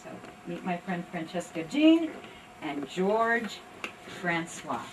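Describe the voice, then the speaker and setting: A woman's voice: on the low side, sing-song, slow. A woman introducing a live library program to an audience, explaining in short phrases and questions. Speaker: conservatory director introducing the program. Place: library event room. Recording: distant mic, loud room noise.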